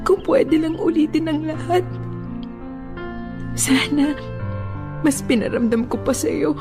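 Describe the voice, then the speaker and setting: A young woman torn by grief in a Filipino radio romance. Her voice sits a little high and is full of feeling, with a Filipino accent. Music runs clearly underneath. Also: slow. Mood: grieving, pleading. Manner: emotional, heartfelt delivery. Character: grieving young woman, tender, torn about loving again.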